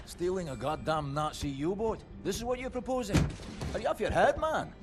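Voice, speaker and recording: A man's voice: high-pitched, expressive, brisk, loud music behind the voice. An actor playing a hot-tempered, incredulous male resistance fighter in a video game. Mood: incredulous, angry. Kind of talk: video game cutscene acting.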